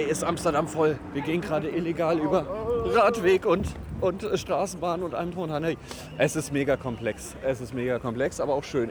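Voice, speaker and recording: A man hosting a casual travel podcast, talking in a joking, teasing, sarcastic way. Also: fairly high pitch. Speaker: podcast host recording travel voice messages. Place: outdoors in a busy city. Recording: noisy outdoor street with traffic.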